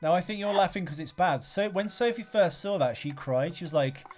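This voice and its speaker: A man's voice: high voice, expressive, a little fast, a little mellow, faint music behind. A man co-hosting a podcast, chatting casually and joking at his own expense. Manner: self-deprecating joking. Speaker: podcast co-host. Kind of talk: casual chat.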